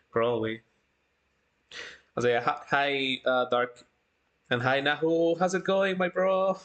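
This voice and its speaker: Giggly, halting livestream host chatting casually. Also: mid-range voice, steady tone, fairly bright tone. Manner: giggly, halting, breaks into laughter often. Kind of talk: casual chat, reading out live chat.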